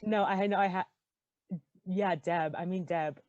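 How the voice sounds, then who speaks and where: A woman's voice: lowish voice, steady tone, measured pace, highs cut off, a loud crowd. A woman giving casual commentary as a podcast cast member in a group chat.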